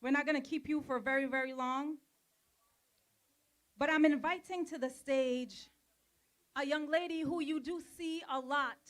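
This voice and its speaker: A woman emceeing an outdoor rally in a park, energetic and coaxing as she introduces the next speaker. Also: sits high, unhurried, pauses often. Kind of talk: emceeing and chant leading.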